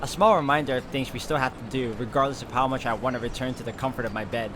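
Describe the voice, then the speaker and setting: A man's voice: mid-range voice, quick pace, noisy background. A man playing through a visual novel, reading its narration aloud and adding his own asides. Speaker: let's player. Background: background game music.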